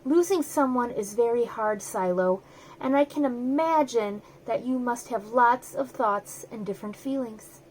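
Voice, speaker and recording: Storybook elephant, played by a woman: elderly female, wise, kind, high register, soothing and reassuring delivery. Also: measured pace, a little mellow, slight background noise, close to an everyday voice, rough recording. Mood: sympathetic. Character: wise old gentle female elephant, comforting. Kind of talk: children's storybook read-aloud.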